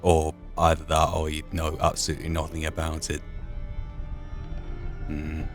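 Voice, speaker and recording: A man's voice: very deep voice, completely flat delivery, normal speed, soft background music. A man hosting a game playthrough, giving commentary as he goes. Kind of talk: game commentary and dramatic reading aloud. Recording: faint background noise.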